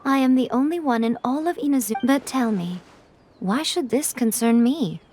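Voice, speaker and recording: A woman voicing a sly, self-assured priestess in a video game, speaking with arch, unhurried elegance. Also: high voice, animated, darkish tone, a little hiss or hum. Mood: aloof, teasing. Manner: unhurried, arch, formal wording. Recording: music behind the voice.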